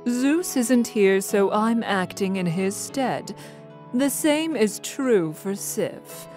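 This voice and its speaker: Queen goddess played by a woman: unhurried, assured, commanding, audio drama with music behind. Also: mid-range voice, lively intonation, a little mellow. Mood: cool. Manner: stately, assured. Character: regal, composed queen goddess, coolly commanding.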